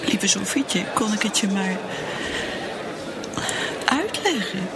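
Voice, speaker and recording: A woman's voice: mid-range voice, animated, slow pace, very noisy. An actress with a Netherlands Dutch accent playing a lonely, bitter middle-aged mother, a drinker in denial, brooding and repeating herself in radio drama. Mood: despairing, desperate.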